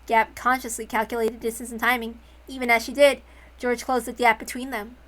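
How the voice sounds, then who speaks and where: A woman narrating a visual novel aloud in an expressive, dramatic storytelling style. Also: fairly high pitch, a little fast. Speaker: narrator, reader.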